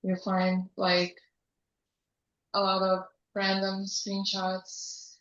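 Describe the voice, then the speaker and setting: A woman's voice: mid-range voice, monotone, extremely slow, a bit bright. A young woman from Lithuania, a student presenter speaking straight to the audience. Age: young adult. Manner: addresses the audience directly. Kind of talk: conference presentation. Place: conference hall. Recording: echoey hall, muffled mic.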